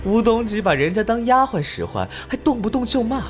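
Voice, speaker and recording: An actress playing a brazen, flirtatious, sharp-tongued female assistant in an animated crime drama, with a sassy, gossipy drawl. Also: on the high side, a little fast, faint music behind. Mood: gossipy, catty.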